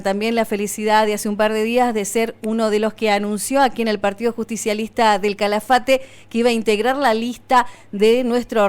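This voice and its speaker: A woman's voice: on the high side, clean tone. A woman radio host with an Argentine accent, running an interview in a chatty way with many fillers and restarts. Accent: Argentine Spanish.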